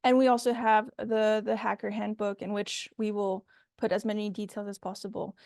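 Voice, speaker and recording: A woman's voice: medium pitch, little pitch movement, moderate pace, darkish tone, no echo, studio quality. A woman informally hosting an online meeting and handing over to speakers. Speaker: host of online event.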